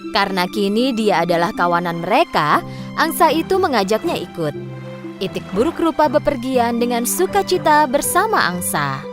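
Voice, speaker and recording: A woman's voice: fairly high pitch, sing-song, normal speed. A woman narrating a scripted children's story as a storyteller. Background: music.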